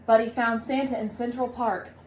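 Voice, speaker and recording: High-pitched woman reading a children's storybook. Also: moderate pace, slight background noise, rough recording. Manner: expressive, emphatic. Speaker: storybook reader, teacher. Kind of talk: children's storybook read-aloud.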